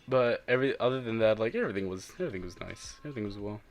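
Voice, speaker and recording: A man's voice: fairly low pitch, lively intonation, very fast. A young man co-hosting a podcast, talking crudely and joking with lots of swearing. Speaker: podcast co-host. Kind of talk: casual chat.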